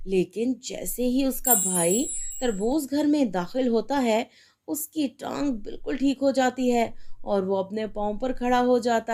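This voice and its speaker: A woman's voice: fairly high pitch, mellow. A woman narrating a scripted children's fairy tale as the storyteller.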